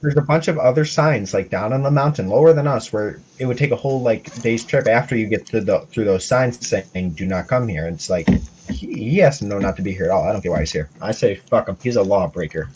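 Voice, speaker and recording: A man's voice: lowish voice, a little fast, a little mellow, slight background noise, low-quality recording. A man chatting casually as a player in a tabletop roleplaying game.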